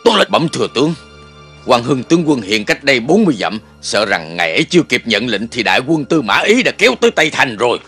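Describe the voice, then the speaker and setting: Urgent, fast-talking male army officer. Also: medium pitch, very animated, fairly bright tone, breathy edge, faint music behind, exaggerated voice. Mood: urgent, anxious. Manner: urgent, formal, deferential. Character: anxious, deferential male army officer reporting to his lord. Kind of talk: dubbed animated historical drama.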